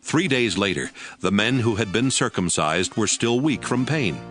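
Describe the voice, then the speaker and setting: Male narrator for audio Bible, fairly low voice, scripted storytelling over clear music. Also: animated, normal speed, a bit bright. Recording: music bed under the narration.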